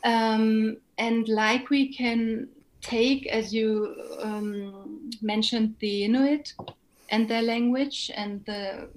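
A German-accented woman, a native German speaker, answering interview questions in English with thoughtful, measured explanations. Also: a little high, steady tone, rough recording. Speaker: guest, musician and language coach. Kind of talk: conversational interview.

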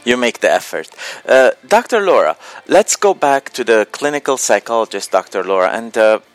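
A man's voice: mid-range voice, very animated, normal speed, no echo. A man hosting a radio interview, switching between Arabic and English and joking playfully with his guest. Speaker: radio show host.

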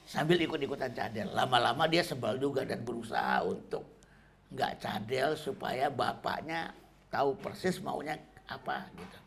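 A middle-aged man giving a relaxed, conversational talk on parenting, often tagging sentences with casual fillers. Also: mid-range voice, normal speed, gravelly, slight background noise. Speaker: parenting speaker.

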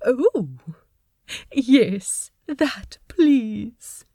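A woman voicing a gentle, fussy, delighted man in love, with prim phrasing, in a fan fiction audio drama. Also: fairly high pitch, expressive, extremely slow, no room sound, studio quality, exaggerated voice. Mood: eager, tender. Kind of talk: fan fiction podfic character acting.

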